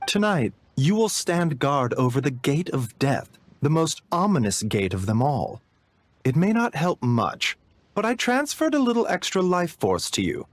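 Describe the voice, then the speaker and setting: A soft-spoken, calm doctor. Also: male voice, fairly high pitch, a little slow. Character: calm, gentle male physician, polite. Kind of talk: video game voice acting.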